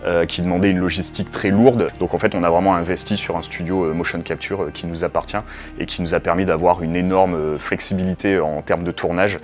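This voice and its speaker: A man's voice: sits low, fairly even intonation, a little fast, music in the background. A man speaking as a game developer in an explanatory interview, conversational, with broken phrasing.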